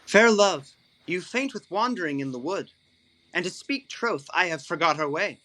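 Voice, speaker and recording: A man's voice: high voice, animated, moderate pace, bright, forceful tone, poor audio. A man playing an ardent, courtly young lover in a Shakespearean audio drama. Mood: tender, apologetic. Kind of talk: classical Shakespearean verse acting.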